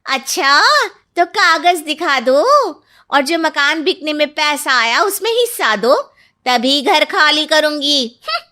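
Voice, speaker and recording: Woman playing sharp-tongued young wife, cartoon drama, very high, bright forceful tone, confrontational. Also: lively intonation, slow pace, heavily acted. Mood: demanding. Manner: brash, confrontational. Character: sharp-tongued, bold young daughter-in-law demanding her share.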